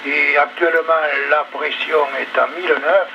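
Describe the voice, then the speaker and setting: A man's voice: on the high side, fairly even intonation, normal speed. A man chatting casually as an amateur radio operator, giving a check-in over a two-way radio. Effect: two-way radio transmission. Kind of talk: casual ham radio check-in with weather report. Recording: muffled, very noisy VHF radio relay.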